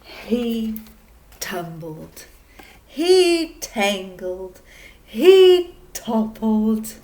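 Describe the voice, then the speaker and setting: A woman reading a children's picture book aloud, expressive and dramatic, with emphatic pauses. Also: fairly high pitch.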